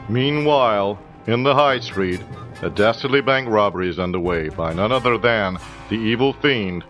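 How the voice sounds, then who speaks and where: An actor playing a dramatic narrator of a superhero comedy audio drama, delivering scripted narration. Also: male voice, on the low side, normal speed, a bit bright, soft background music, natural voice. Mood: melodramatic.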